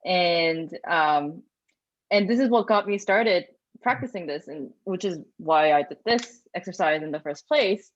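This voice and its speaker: A woman's voice: on the low side, expressive, unhurried, dry recording. Eager young woman on a lo-fi call. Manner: eager, chatty, lots of "like" and "um", laughs easily. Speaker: mentee in a group session. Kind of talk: casual chat on a video call. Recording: dull, dry lo-fi call audio.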